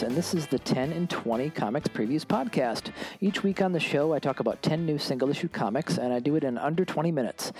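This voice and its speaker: A man hosting a casual solo podcast, his voice gravelly and nasal from allergies, chatty and relaxed with many fillers. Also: mid-range voice. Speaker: podcast host. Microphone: basic home microphone. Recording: dry, poor-quality home microphone.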